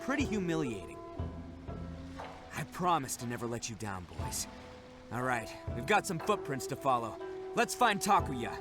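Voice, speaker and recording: A man's voice: medium pitch, sing-song, moderate pace, long pauses, natural voice. A man voicing a brash, proud but good-hearted young gang boss in a video game, cocky, blustering and informal. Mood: humbled, resolute. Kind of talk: video game character voice acting. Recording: game music loud behind voice.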